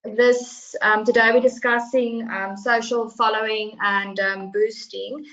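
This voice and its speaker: A woman introducing a webinar session, halting with many fillers. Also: fairly high pitch, steady tone, a bit bright. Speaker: session organizer. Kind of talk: brief introduction. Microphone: video call. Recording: muffled video call audio.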